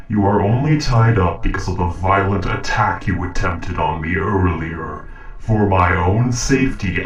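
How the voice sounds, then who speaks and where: A man performs a logical, courteous humanoid robot from a sci-fi audio roleplay. The voice is low and very unhurried, with formal, precise diction. It sits back from the microphone, questioning a captive with measured care. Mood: formal, insistent. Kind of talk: scripted audio roleplay monologue. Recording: roomy echo, distant from mic.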